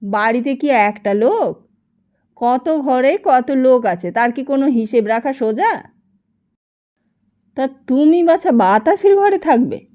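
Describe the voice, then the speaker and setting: A woman storyteller plays a brash older madam from a novel, high and loud. She scolds and haggles in blunt colloquial speech, full of suspicious questions. The sound is slightly dull.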